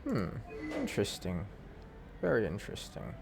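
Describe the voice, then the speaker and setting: A man's voice: lowish voice, wildly swooping pitch, moderate pace, vocal fry, over loud music. A man giving casual gameplay commentary as a game streamer.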